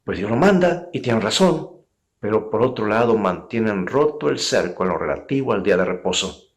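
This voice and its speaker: A man's voice: fairly low pitch, lively intonation, moderate pace. A man preaching a sermon as a pastor, speaking earnestly and emphatically and addressing his listeners directly. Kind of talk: sermon with illustrative anecdotes.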